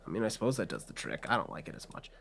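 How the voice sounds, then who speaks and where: A man's voice: fairly low pitch, big pitch swings, rapid-fire, creaky, a crowd in the background, voice pushed down, exaggerated voice. A man playing an eager, amoral back-alley cybernetics surgeon with a blithe, hesitant salesman's patter in a tabletop game. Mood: eager, chatty.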